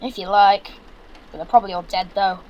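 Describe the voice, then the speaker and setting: A man's voice: high-pitched, steady tone, a little fast, dull audio, slight background noise, close to an everyday voice. A young man playing a practical, bossy British son in an audio drama, speaking in an earnest, instructive way. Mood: fond, dry. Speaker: actor.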